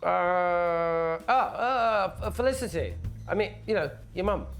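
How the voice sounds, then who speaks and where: An actor playing a defensive, sulky young son, evasive and sardonic, in a naturalistic film drama. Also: male voice, sits high, lively intonation, a little slow, vocal fry, a bit bright, soft background music, full character voice. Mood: hesitant, evasive. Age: young adult. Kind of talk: acted film dialogue, naturalistic.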